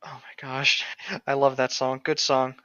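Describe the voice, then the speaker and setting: A woman's voice: rumbling low, flat delivery, moderate pace, fairly bright tone, no echo, highs cut off. A woman co-hosting a comedic read-along podcast, with playful, sweary banter and reading aloud. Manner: playful, crude, sweary joking.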